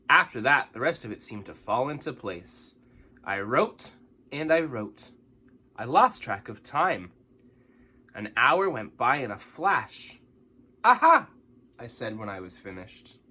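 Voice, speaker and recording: Guy doing a kids book read aloud, super animated, bit raspy. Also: medium pitch. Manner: expressive, dramatic, with exaggerated emphasis. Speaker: storyteller reading a picture book aloud.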